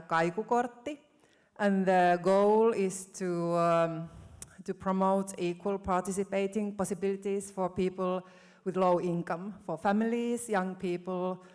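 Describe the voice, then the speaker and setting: A woman from Finland introducing her organization on a panel, friendly and measured. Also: mid-range voice, fairly even intonation, darkish tone, smooth.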